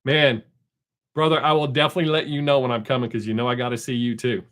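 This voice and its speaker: A man's voice: medium pitch, little pitch movement, quick pace, fairly bright tone. Chatty middle-aged man. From American South. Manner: chatty, rambling, reads chat aloud. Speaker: live stream host. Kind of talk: casual chat with viewers. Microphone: desk microphone.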